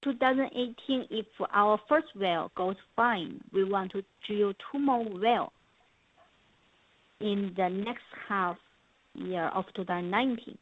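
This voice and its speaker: A woman's voice: medium pitch, unhurried. A woman with a Chinese accent, a native Mandarin speaker, answering questions and interpreting as a company representative on a conference call. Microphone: telephone. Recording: dull lo-fi conference phone call.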